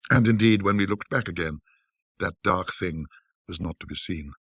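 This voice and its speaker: A man reading a classic ghost story as a measured, formal, old-fashioned storyteller. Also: deep voice, gravelly, breathy edge. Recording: muffled band-limited audio, roomy echo.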